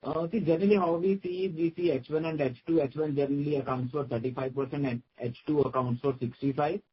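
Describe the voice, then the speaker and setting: A man with an Indian accent giving matter-of-fact, halting answers as a finance executive on an earnings call. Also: fairly high pitch, fairly even intonation, slightly rough. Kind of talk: spoken answers on a business conference call. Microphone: conference call line. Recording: dull, lo-fi conference call audio.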